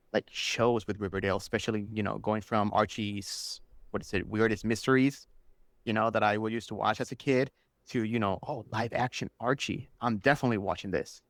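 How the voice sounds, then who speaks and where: A man's voice: medium pitch, no echo, studio quality. A man whose first language is Spanish, chatting enthusiastically and casually as a guest telling stories on a podcast. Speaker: interview guest, superfan.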